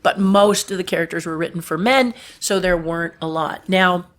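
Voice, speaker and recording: A middle-aged woman from Southern California with a deep voice, chatting about her puppetry work in an interview and laughing at her own stories. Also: fairly even intonation, normal speed. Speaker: puppeteer guest. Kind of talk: casual interview storytelling.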